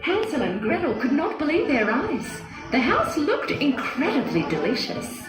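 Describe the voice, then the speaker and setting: Expressive, lilting woman storyteller in echo. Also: sits high, moderate pace. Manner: expressive, dramatic. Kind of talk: scripted children's story narration. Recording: echoey with music behind.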